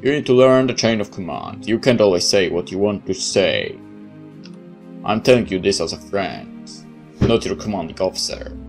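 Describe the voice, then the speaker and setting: A man's voice: deep voice, sing-song, normal speed, gravelly, a little mellow, soft background music, full character voice. A man voicing a stern, cold military superior in a visual novel, lecturing in a clipped, authoritative way.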